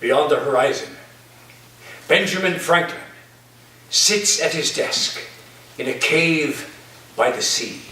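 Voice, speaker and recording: Man acting bright, echoey theatrical narrator. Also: on the high side. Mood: whimsical, earnest. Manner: deliberate, pausing between phrases. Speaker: actor in a staged reading. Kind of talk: theatrical dramatic monologue. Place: community center stage. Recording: echoey hall, distant mic, background noise.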